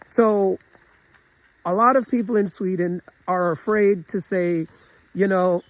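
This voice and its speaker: High-voiced man rambling earnestly. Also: steady tone, takes it slowly, no echo. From United States. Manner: earnest, rambling, with many restarts and filler phrases. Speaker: travel vlogger. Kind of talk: opinionated casual monologue.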